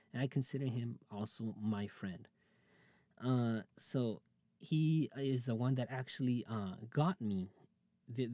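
A man's voice: mid-range voice, mellow, no room sound, studio quality. A man in his late twenties hosting his own podcast, talking casually and openly about his life, rambling with many fillers and restarts. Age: 20s. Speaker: podcast host. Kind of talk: casual personal monologue.

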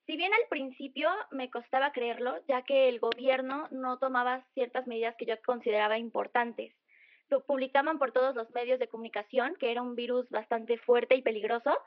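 A woman's voice: high voice, no echo, muffled recording. A teenage young woman with a Mexico City accent, sharing opinions conversationally as a podcast co-host. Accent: Mexico City Spanish. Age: teens, secondary school student.

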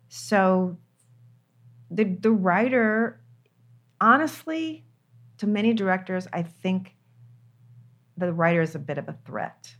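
An older woman, a screenwriter, talking candidly in an interview, stressing her points by repeating words. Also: on the low side. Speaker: screenwriter guest.

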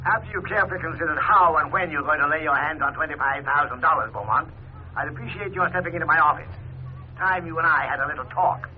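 Through a thin, phone-like 1950s radio sound comes an actor as a coldly displeased boss. He speaks quickly in a fairly high voice, clipped, formal and ominous. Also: lightly acted. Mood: coldly stern. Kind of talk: 1950s radio drama acting. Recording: tinny, noisy old radio phone line.

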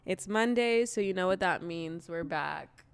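A young woman with a stuffy, congested nose chats and gossips as a podcast co-host. Her voice is smooth and clear, recorded close and dry with no room sound. She jumps in with quick, lively reactions. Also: lowish voice, dry recording. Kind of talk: casual chat.

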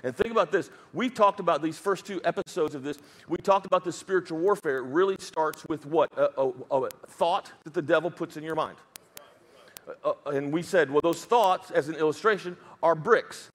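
A man's voice: fairly high pitch, moderate pace. A man with a Southern US accent preaching a sermon as pastor, emphatic and dropping joking asides.

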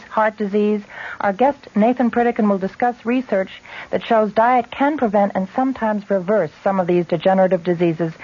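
A woman's voice: mid-range voice, darkish tone. Woman announcing on dull vintage radio. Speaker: radio program host. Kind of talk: scripted program announcement.

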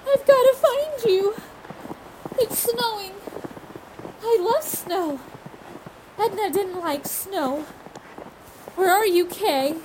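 Woman doing a scared young woman in a horror radio play, really high pleading voice, devoted and breathless, noisy recording. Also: animated, slow pace, long pauses, heavily acted. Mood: anguished. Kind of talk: radio horror drama acting.

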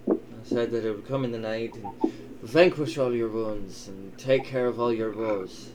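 A man voicing a gruff, doting old elven father who swears and teases in an improvised tabletop roleplay. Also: fairly low pitch, moderate pace, lightly acted. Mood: grave storytelling. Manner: wry, teasing, swearing. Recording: clearly noisy, ambient sound behind.

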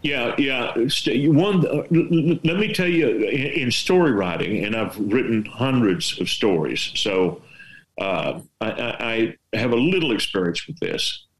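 A man's voice: medium pitch, expressive, measured pace, low-quality recording. Folksy West Texas man. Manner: folksy, rambling, many restarts and rhetorical questions. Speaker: veteran storyteller and artist giving writing advice. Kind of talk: casual advice chat.

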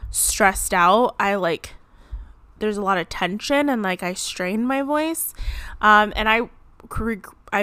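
A woman's voice: mid-range voice, fairly bright tone, no echo. Chatty woman podcast host, dry sound. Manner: rambling, chatty, frequent "like" and laughs. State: slightly strained voice. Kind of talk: casual solo podcast chat. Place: home, seated.

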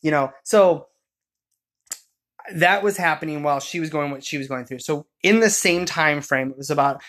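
Man casually telling a halting personal story. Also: a little high, fairly bright tone. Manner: rambling, halting, self-correcting.

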